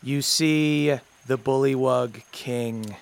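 A man's voice: mid-range voice, slow, vocal fry, smooth. A man acting as game master, narrating a tabletop game casually in an informal, slangy way.

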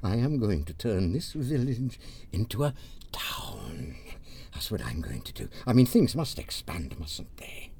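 Dithering British mayor, wildly swooping, creaky. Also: male voice, lowish voice, sing-song, measured pace, darkish tone, exaggerated voice. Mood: eager, muddled. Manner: plaintive, hesitant, rambling, theatrical. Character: anxious, self-doubting, dithering male village mayor. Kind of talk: comic audio drama acting.

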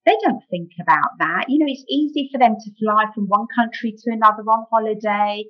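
A middle-aged woman with a British accent talks casually as a novelist being interviewed. Her voice sits fairly high, and her speech is chatty and conversational. The recording sounds muffled and a little dull. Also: brisk. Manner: chatty, frequent "you know".

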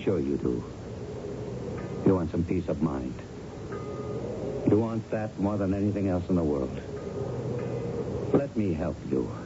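A police lieutenant in a seventies radio drama, pressing and coaxing like a practised interrogator. His low voice rises and falls widely, heard through a dull, hissy old broadcast. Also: expressive, a little slow, pauses often, music in the background. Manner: probing, persuasive, pointed questioning. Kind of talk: 1970s radio drama acting. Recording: noisy band-limited old radio broadcast.

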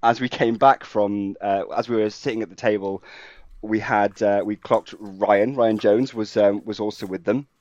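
Low-voiced Welshman telling a rambling anecdote. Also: fairly even intonation, moderate pace, darkish tone, dry recording, dull audio. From Wales. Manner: rambling storyteller, many ums, self-deprecating. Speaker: podcast co-host. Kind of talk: casual podcast anecdote.

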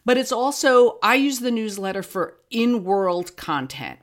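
A woman's voice: fairly high pitch, animated, a little slow. A woman speaking casually as an interview guest, explaining her creative work in a conversational way.

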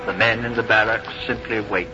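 A man's voice: fairly low pitch, fairly even intonation, brisk, over music, lightly acted. A man narrating a war drama solemnly in scripted 1950s radio style. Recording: muffled 1950s radio recording.

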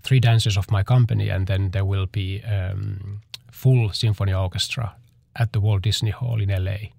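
A man, a choreographer answering interview questions in a halting way as he searches for words. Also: fairly low pitch, fairly even intonation, creaky, a little mellow, no room sound. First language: Finnish.

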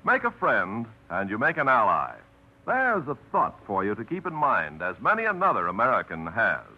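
Smooth, earnest radio announcer man. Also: mid-range voice, wildly swooping pitch, moderate pace. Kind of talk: formal broadcast announcing. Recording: dull old radio broadcast.

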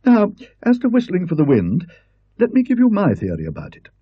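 A prim middle-aged professor lectures in a precise, fussy manner, as a man voices him. His pitch leaps about with lively inflection, and the voice has a husky edge in a dull, lo-fi recording. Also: fairly quick, no room sound, exaggerated voice. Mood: lecturing. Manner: precise, fussy, slightly hesitant.

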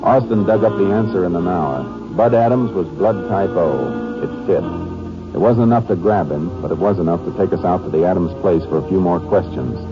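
An American actor playing a shrewd, laconic Texas Ranger in a 1950s radio drama, terse and matter-of-fact. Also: male voice, deep voice, normal speed, close to an everyday voice. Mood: measured, matter-of-fact. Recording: muffled old radio recording, faint music.

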